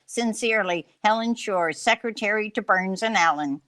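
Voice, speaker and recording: Polite, dismissive 1930s office secretary played by an actress, businesslike, medium pitch. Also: female voice, moderate pace, fairly bright tone, natural voice. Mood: brisk formality. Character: formal, politely dismissive secretary reading out her letter. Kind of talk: radio drama acting.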